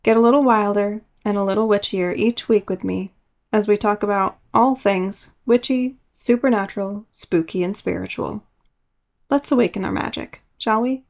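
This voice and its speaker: A woman's voice: medium pitch, moderate pace, creaky, no echo, studio quality. A woman hosting a podcast, telling personal stories from a script with casual, conversational asides. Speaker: podcast host.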